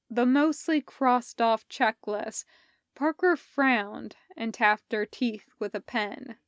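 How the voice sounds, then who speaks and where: A woman reading scripted fiction as a narrator, in a measured, deliberate storytelling manner. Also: fairly high pitch, no room sound, clean studio sound. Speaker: podfic reader.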